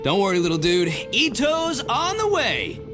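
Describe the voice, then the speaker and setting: A swaggering, theatrical oni gang boss for an anime game. His pitch leaps all over as he brags in loose slang. He sits in long reverb with clear music behind him. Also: huge pitch swings, a little slow, heavily acted. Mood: cocky, upbeat. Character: boisterous, bragging oni gang boss, big-hearted and dramatic. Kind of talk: anime-style game cutscene acting.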